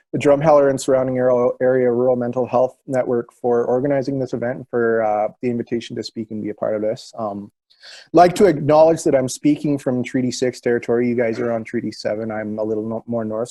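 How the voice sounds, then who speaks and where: A man giving an informal talk as a guest speaker, sincere and encouraging, often halting as he goes. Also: mid-range voice, warm tone. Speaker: keynote speaker. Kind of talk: informal public talk, closing remarks.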